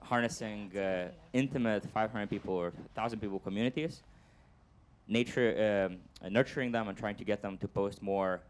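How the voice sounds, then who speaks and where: A man's voice: lowish voice, moderate pace, slight background noise. A man speaking on an industry panel, upbeat and analytical. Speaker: panelist, performance marketer. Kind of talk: panel discussion answer.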